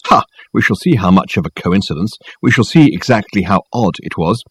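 A man's voice: on the low side, a little fast, slightly rough, no room sound. A man voicing an imperious, testy male detective with an airy, impatient, theatrical manner in an audiobook.

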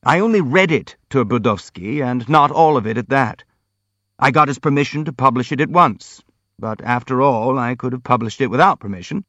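A male narrator acts out a self-important boxer from a novel, eager to have his say. He speaks in grand, wordy, self-justifying phrases, lifted in pitch, on a slightly muffled recording. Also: animated, moderate pace, fairly bright tone, no room sound. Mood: self-important, excited. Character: pompous, eager boxer justifying his own scandalous article. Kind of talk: audiobook character acting.

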